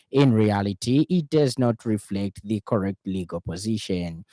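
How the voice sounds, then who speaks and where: A man with a Zambian accent reading a scripted radio news bulletin in a formal, measured way as the anchor. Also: on the low side, mellow, dry recording.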